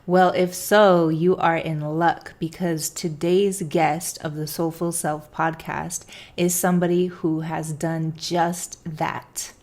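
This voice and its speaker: Young woman, podcast host, upbeat, enthusiastic, lowish pitch, living room, webcam. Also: level tone, takes it slowly, a little mellow. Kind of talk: podcast intro and interview.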